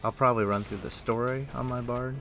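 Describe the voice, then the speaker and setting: A man livestreaming a game, chatting casually and thinking aloud in a rambling, halting way. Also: medium pitch, fairly even intonation. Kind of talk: casual chat while playing an online game. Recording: music playing behind. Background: music.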